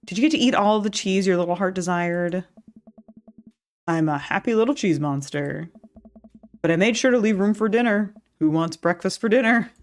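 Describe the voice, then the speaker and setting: A woman's voice: medium pitch, expressive, normal speed, faint music behind, natural voice. A woman reading the lines of an upbeat, corny suburban dad in a game, in a cheerful, dad-joke delivery.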